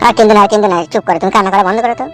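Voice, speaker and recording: A man's voice: sits high, fairly even intonation, racing, over music, close to an everyday voice. A man playing a put-upon, rueful village husband in a comic cartoon, plaintive and coaxing. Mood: placating, resigned.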